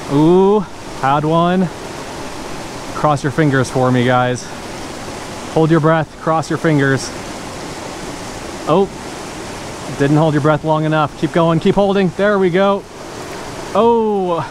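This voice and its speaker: A woman narrating casually to viewers while fishing a mountain creek, chatty and breaking into excited exclamations, recorded on a point-of-view action camera outdoors. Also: sits low. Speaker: fishing vlogger. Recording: loud rushing creek noise. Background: rushing stream water.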